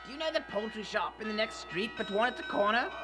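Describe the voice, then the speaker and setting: A woman playing an old male miser reborn as a giddy, generous soul in a radio drama, exclaiming and repeating phrases excitedly. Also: mid-range voice, fast, music in the background, lightly acted. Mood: overjoyed, giddy.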